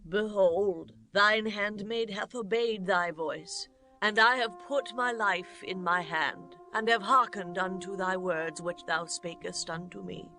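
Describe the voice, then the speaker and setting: Woman playing a slow, bright-voiced humble handmaid. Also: mid-range voice, faint music behind. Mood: earnest pleading. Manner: deliberate, entreating. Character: humble, earnest handmaid urging her king. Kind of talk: dramatized scripture audiobook acting.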